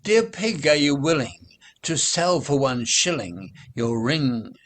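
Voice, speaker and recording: A man's voice: mid-range voice, animated, slow, voice pushed up. A man voicing a lovestruck female pussycat from a storybook poem in a gushing, romantic manner. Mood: adoring, eager. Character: lovestruck female pussycat courting an elegant owl.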